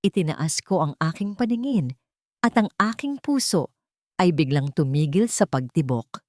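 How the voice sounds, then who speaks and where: Female audiobook narrator, Filipino accent, slightly low register, measured and solemn, very dry recording. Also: very animated, no echo. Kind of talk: dramatic first-person scripted story narration.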